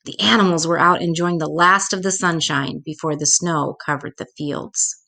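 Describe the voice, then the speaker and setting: A woman reading a children's storybook aloud expressively, with dramatic pauses. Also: deep voice, steady tone, creaky, sharp tone.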